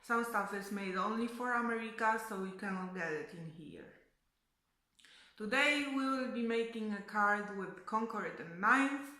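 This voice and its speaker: Slow Polish-accented woman crafter. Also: medium pitch, pushed and bright. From Poland. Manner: slow, hesitant, many fillers. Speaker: card-making crafter. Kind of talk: casual crafting tutorial. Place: home craft room, indoors. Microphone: fixed camera, seated facing it.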